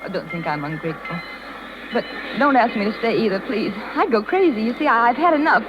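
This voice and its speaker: An actress playing a young circus rider, anguished and stubborn, in 1930s radio drama style on a dull old recording. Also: female voice, on the high side, lively intonation, fairly quick, over music, natural voice. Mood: pleading, resolute.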